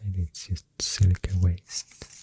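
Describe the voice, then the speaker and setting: An ASMR creator with a Swedish accent, speaking very softly and often near a whisper, thinking aloud hesitantly into a close microphone. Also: deep voice, completely flat delivery, measured pace, no echo. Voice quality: very soft, breathy, often dropping to a whisper. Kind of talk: soft-spoken ASMR, rambling science facts.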